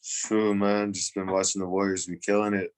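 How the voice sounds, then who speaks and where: A man co-hosting a sports podcast, talking in a measured, hesitant way with many uhs. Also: low voice, little pitch movement. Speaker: podcast co-host. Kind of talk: casual sports podcast chat.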